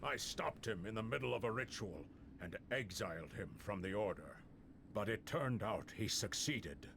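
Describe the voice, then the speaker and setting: A man playing a grave, embittered older monster-hunting master in a fantasy game, deliberate and dramatic, with music behind. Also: lowish voice, expressive, gravelly, background noise. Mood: grave, recounting. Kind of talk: fantasy game character acting.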